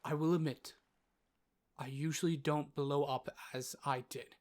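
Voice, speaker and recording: A man playing a learned, easily exasperated doctor, narrating a fantasy audio drama in a measured, earnest way. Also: a little high, steady tone, a little mellow, natural voice. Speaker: narrator and lead character.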